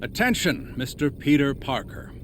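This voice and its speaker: A man's voice: medium pitch, sing-song, moderate pace, background noise, close to an everyday voice. A man playing a bitter, vengeful tech engineer villain in a video game, menacing and condescending. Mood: official, cold. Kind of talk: dramatic video game voice acting.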